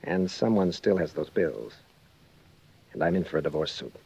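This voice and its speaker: An actor playing a terse, guarded, wealthy husband in 1940s radio acting style. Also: male voice, sits low, animated, moderate pace, gravelly, darkish tone, slightly rough, full character voice. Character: guarded wealthy married man, reluctant businesslike client. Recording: muffled, lo-fi 1940s radio recording.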